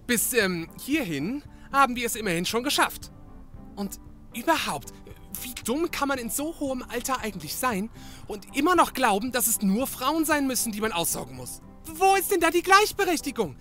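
A jittery, fussy sidekick in a comedy audio drama. His voice is sharp and bright, and he chatters indignantly, tripping over words, with faint music behind. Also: very high voice, big pitch swings, normal speed, heavily acted. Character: nervous, chattering, fussy male detective's assistant.

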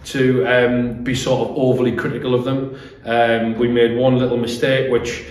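A man's voice: medium pitch, level tone, normal speed. A British man in his thirties gives a post-match interview as a football manager. His phrasing is hesitant, with repeated words. The room is strongly echoey and he sits away from the mic. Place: small bare-walled room indoors. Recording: echoey room, distant mic, faint noise.

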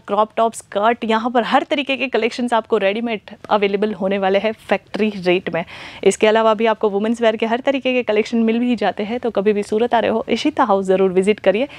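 A young adult woman hosting a clothing shop video, delivering an upbeat, repetitive sales pitch while showing garments in a large store. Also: dry recording. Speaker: shop host presenting clothing. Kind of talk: fast sales pitch to camera.

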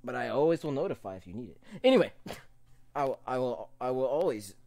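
Young man, casual reaction host, very lively swooping pitch, excitable, repetitive, home studio mic. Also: a little fast, vocal fry. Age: young adult. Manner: excitable, animated, repeats himself. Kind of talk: casual video reaction commentary. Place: small room at home. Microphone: studio microphone with pop filter.

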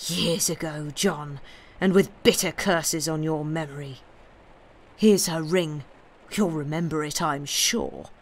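A narrator voicing a bitter, scornful male blackmailer in an audiobook, forceful and declamatory. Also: mid-range voice, slow pace. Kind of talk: dramatic audiobook character voice.

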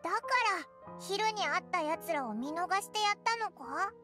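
A woman's voice: very high-pitched, lively intonation, unhurried. A woman voicing a small, cheeky fairy companion in an anime game, with blunt, boyish speech in a childish voice. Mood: curious. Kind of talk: Japanese anime game voice acting. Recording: music bed behind the voice.

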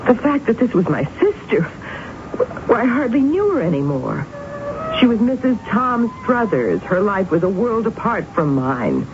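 A woman's voice: mid-range voice, very animated, normal speed, natural voice. An actress playing a reclusive, fearful and prickly woman in an agitated radio drama style. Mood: horrified, brooding. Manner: agitated, fretful delivery. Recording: dull, noisy 1970s radio recording.

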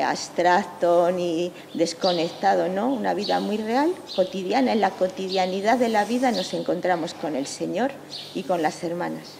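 Gravelly low-voiced older woman, soft-spoken. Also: background noise. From Madrid, Spain. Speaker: superior of the monastery. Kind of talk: interview answers. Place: cloister garden outdoors. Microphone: handheld mic.

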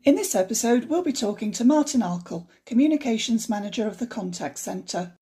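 A woman hosting a podcast, introducing the show and asking a guest clear, friendly questions. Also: a little high, lively intonation, fairly quick, warm tone. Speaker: podcast host. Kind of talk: interview podcast.

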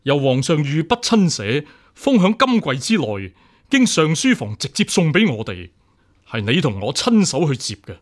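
A stubbornly upright deputy examiner in a Cantonese radio drama. His Hong Kong-accented voice sits a little high and moves with lively intonation. He is formal, earnest and refuses to yield. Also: moderate pace. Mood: suspicious, firm.

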